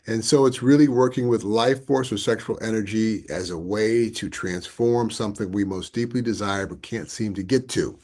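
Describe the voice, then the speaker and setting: A man's voice: lowish voice, darkish tone. A middle-aged man co-hosting a tantra workshop, talking earnestly and searching for his words. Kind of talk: casual workshop talk.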